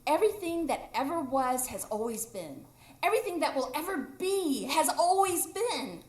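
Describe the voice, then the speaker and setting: A woman's voice: high voice, sing-song, moderate pace. A woman acting a playful, carefree and stubborn ageless boy in a live radio drama. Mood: matter-of-fact. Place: improv theatre stage, live audience. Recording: roomy live stage recording.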